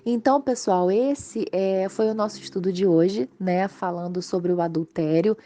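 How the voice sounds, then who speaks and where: A Brazilian woman with a slightly hoarse voice from a mild cold, giving an informal, explanatory religious study talk to viewers. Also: mid-range voice, normal speed, mellow. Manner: explanatory, conversational, checking in with tag questions. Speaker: host of a spiritist study channel.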